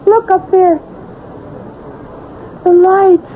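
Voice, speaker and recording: An awestruck woman speaks with drawn-out wonder at a very high pitch. It is 1970s radio drama. The recording is thin and phone-like, with faint noise. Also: level tone, heavily acted. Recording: tinny, noisy vintage radio recording.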